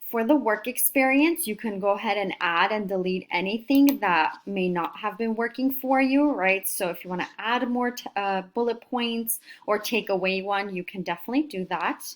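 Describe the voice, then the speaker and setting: A woman career coach giving an upbeat, encouraging screen-recorded tutorial and talking students through each step. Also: mid-range voice. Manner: upbeat, encouraging, talks while clicking through steps.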